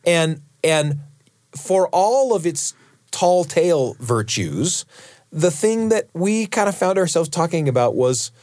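A man's voice: a little high, very animated, slow, darkish tone, dry recording, clean studio sound. A middle-aged American man hosting a radio show, chatting and telling personal stories. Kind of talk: warm-up chat and personal storytelling narration.

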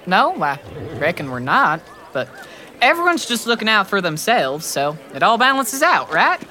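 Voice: mid-range voice, big pitch swings, normal speed, pushed and bright. An actor voicing a cynical male sidekick in a con game, with a laconic drawl. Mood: sly.

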